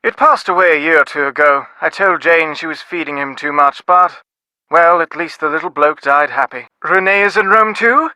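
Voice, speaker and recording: Game role: snobbish British upper-class dad, middle-aged, sardonic, highish sharp voice, very close dry sound. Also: male voice, normal speed, no room sound, poor audio. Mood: brisk, polite. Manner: pompous, wordy, dryly sardonic. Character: snobbish, dismissive middle-aged English father of aristocratic family. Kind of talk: scripted video game dialogue.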